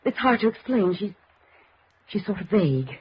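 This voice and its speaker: Shaken, halting young radio-drama woman. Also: medium pitch, very animated, husky. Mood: anxious, insistent. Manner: halting, breaking off and repeating words. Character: shaken, anxious young woman, grieving and suspicious daughter. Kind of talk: 1950s American radio drama acting. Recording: dull, noisy old radio recording.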